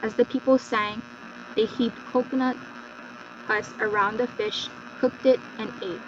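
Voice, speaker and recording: A woman with a fairly high voice narrates a folktale from a script. Her delivery is that of a dramatic storyteller. The sound is muffled and lo-fi, with a clear electrical hum underneath. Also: steady tone, a little slow. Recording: dull lo-fi recording with loud mains hum.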